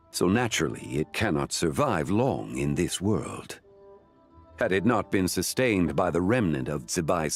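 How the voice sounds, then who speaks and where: A man playing a calm, grave and wise gentleman sage in a video game, formal, measured and cryptic in his delivery. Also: low-pitched, exaggerated voice.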